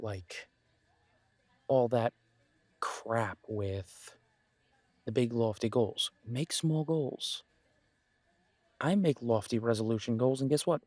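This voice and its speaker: A man playing a supportive, self-deprecating best friend in a casual roleplay audio drama, speaking in an earnest, encouraging, conversational way with pauses. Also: mid-range voice, a little mellow, dry recording, close to an everyday voice.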